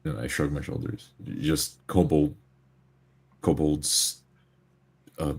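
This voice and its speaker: A man playing a tabletop role-playing game, improvising his character's words and actions in a halting, restrained way. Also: extremely low pitch, flat delivery, darkish tone, breathy edge.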